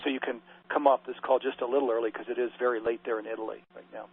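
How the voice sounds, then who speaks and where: A man hosts a call-in panel in a relaxed, filler-heavy way, with a flat and steady delivery. His husky voice comes through a tinny, phone-like line. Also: mid-range voice, rapid-fire, no echo. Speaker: radio program host.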